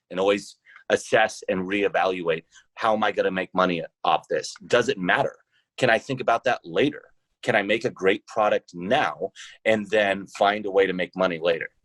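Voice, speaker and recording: Male podcast guest, low voice, very dry close sound, deliberate conversational answers. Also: fairly bright tone, no echo. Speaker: podcast guest, founder of a role-playing podcast.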